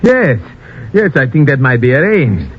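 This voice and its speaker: A man's voice: medium pitch, sing-song, measured pace, husky. A man playing an earnest, anxious resistance ally in old-time radio style, speaking with formal, careful phrasing. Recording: muffled, hissy old radio recording.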